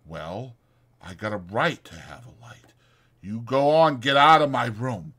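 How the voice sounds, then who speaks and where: A man's voice: mid-range voice, animated, unhurried. Man acting a bitter, aloof stable hand. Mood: bitter, defiant. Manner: sharp, grumbling, folksy rural speech.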